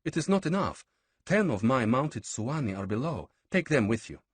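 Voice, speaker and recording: A man voicing a calm, composed noblewoman who answers in short, clipped lines in a lifted voice. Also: medium pitch, normal speed, slightly rough, no echo, voice pushed up. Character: calm noblewoman in command, adult.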